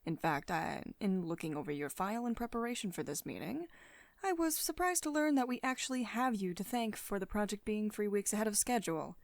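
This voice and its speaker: A poised female CEO, acted by a woman in an intimate ASMR roleplay. She speaks at a medium pitch in a measured, commanding way, with teasing, slightly condescending affection. The sound is close to the microphone and very dry. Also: lively intonation, no room sound, lightly acted. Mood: poised, probing. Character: poised, teasing, mildly dominant female CEO of tech firm. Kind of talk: ASMR roleplay, intimate one-sided dialogue. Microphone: close studio-style microphone, dry voice-over.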